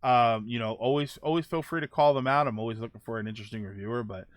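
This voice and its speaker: A man's voice: medium pitch, fast talker, dry recording, studio quality. A middle-aged man hosting a casual podcast, rambling through viewer comments with many fillers and self-corrections. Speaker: podcast host.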